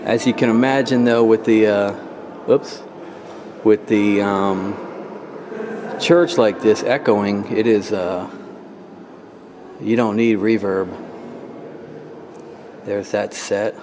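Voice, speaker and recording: A man's voice: fairly low pitch, fairly even intonation, slow, pauses often. A man giving a casual, awed commentary to himself as he films a handheld video tour inside a church. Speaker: amateur videographer and music fan. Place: inside a church beside the organ. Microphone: handheld camera, operator behind it. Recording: clear background noise, handheld camera audio.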